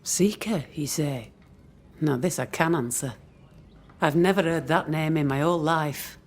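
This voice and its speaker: A woman's voice: sits low, measured pace. Stern woman settlement leader; curt, resentful, commanding, for a colonial game drama. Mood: guarded. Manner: curt, commanding, archaic phrasing.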